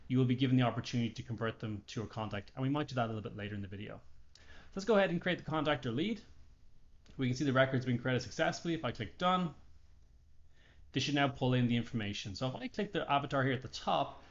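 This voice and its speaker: A man presents a software tutorial in a mid-pitched voice. He narrates informally over a screen share, explaining each action step by step. The recording is a little dull and lo-fi. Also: fast.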